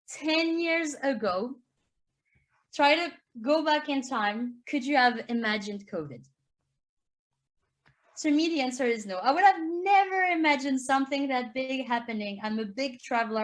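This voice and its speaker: French-accented woman teacher, earnest presenter, slightly high voice. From France. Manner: earnest, explanatory, rises in pitch to stress key points. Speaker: primary school teacher. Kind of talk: conference talk with slides.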